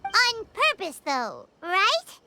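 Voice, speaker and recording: A tiny female fairy companion for an anime video game, with an extremely high, squeaky childlike voice. The delivery is bubbly and curious, bouncing widely in pitch, with prominent music underneath. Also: huge pitch swings, drawn-out words, heavily acted. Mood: curious, chirpy. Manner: speaks of self in third person, bubbly. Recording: music behind the voice.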